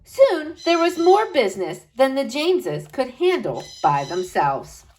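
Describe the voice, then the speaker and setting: Lively, expressive woman reading aloud. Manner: expressive, slow dramatic emphasis with wide pitch swings. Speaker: storyteller reading to children. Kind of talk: picture book read-aloud.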